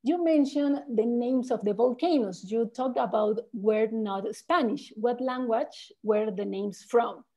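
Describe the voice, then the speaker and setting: A woman host on a video call puts audience questions to her guide. She reads them out briefly and plainly, in a somewhat high, mellow voice. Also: measured pace, hardly any pauses. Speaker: tour organizer reading audience questions. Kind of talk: reading questions aloud.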